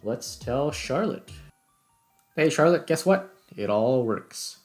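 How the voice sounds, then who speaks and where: A man's voice: mid-range voice, lively intonation, slow, a little mellow, faint music behind. A man hosting casually, thinking aloud in informal speech as he comments on his screen recording. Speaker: video host, game developer.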